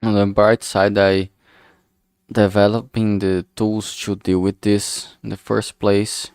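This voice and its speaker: A man with a slightly low but clean, smooth voice talks his way through a solo work stream. He speaks very slowly, rambling and losing his sentences, with frank, casual swearing. The sound is close and dry. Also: level tone, a little mellow, dry recording. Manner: halting, rambling, trailing off mid-sentence. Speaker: solo streamer developing a visual novel.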